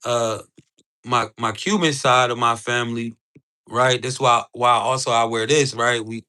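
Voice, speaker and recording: A man giving an informal, emphatic livestream sales pitch to his chat, talking in slang with frequent tag words. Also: pushed and bright. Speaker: live streamer selling spiritual ebooks.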